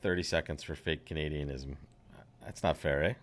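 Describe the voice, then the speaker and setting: A man from upstate New York hosting live bridge commentary, jokey and excitable with quick asides. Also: rumbling low.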